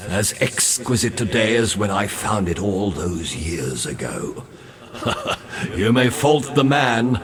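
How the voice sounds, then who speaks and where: Game role: pompous revenant sea captain, wry and morbid, hugely animated pitch, slow relished delivery. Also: male voice, exaggerated voice. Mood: admiring. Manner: florid, pompous, savoring his words. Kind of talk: theatrical video game character dialogue.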